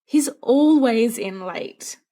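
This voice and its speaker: A woman's voice: a little high, animated, slow pace, dark tone, pitched above the performer's own voice. A woman voicing a sensible teenage schoolboy, earnest and exasperated as he reasons, in a storybook reading. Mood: dry, comforting.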